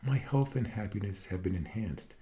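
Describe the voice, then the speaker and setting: A man gives a scripted dramatic recitation, a mock-meditative monologue in a solemn, deliberate, wryly serene manner. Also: fairly low pitch, mellow. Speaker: reader of own satirical prose piece.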